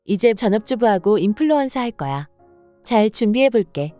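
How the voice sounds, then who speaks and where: A woman's voice: mid-range voice, expressive, normal speed, dark tone, dry recording, faint music behind, close to an everyday voice. A woman voicing an irritable, demanding young wife in a scripted radio story reading. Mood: eager. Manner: accusing, complaining. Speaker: voice actor reading the wife's lines.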